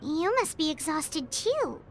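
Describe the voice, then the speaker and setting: A woman's voice: extremely high pitch, sing-song, slow, soft background music, exaggerated voice. A woman voicing a small, chatty, cautious fairy-like companion with a childlike manner in a video game. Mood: worried. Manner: refers to self in third person.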